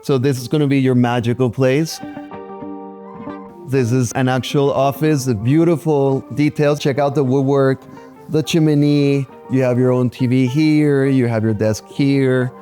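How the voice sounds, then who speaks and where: Man, real estate agent, showy tour guide, warm low tone, quiet guitar behind. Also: fairly even intonation, unhurried. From Colombia. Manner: showy, points out features. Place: indoors in a luxury apartment.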